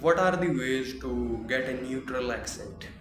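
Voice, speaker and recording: A man from Haryana with an Indian accent, practicing English and pausing to find words. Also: medium pitch, lively intonation. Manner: hesitant, searching for words. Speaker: English learner. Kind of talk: practice conversation. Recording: roomy room with noise.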